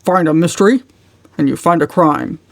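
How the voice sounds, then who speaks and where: A woman's voice: sits low, normal speed, dry recording. A woman voicing a pompous, indignant older man in a storybook, with emphatic, scornful delivery. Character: blustering, indignant, pompous old uncle.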